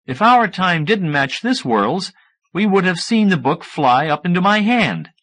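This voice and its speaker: A man's voice: high voice, very animated, measured pace, fairly bright tone, pitched above the performer's own voice, exaggerated voice. A man voicing a slovenly male mad scientist in an audiobook, with an offhand, whining manner. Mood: dismissive.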